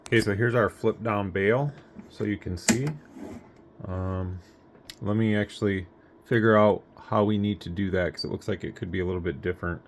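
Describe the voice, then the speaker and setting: A man's voice: lowish voice, steady tone, normal speed. A man giving a casual hands-on product review, rambling a little as he thinks aloud, recorded on a phone. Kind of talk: casual unboxing review, talking through thoughts. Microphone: phone, handheld. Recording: clear background noise, recorded on a phone.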